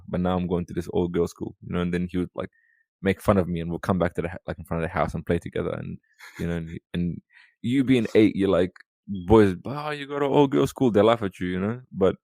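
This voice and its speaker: A man's voice: sits low, fairly even intonation, fast, creaky, darkish tone, dry recording. A man in his thirties from Sudan, a podcast guest, telling childhood stories in a rambling casual way with lots of "you know.". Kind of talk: casual storytelling conversation.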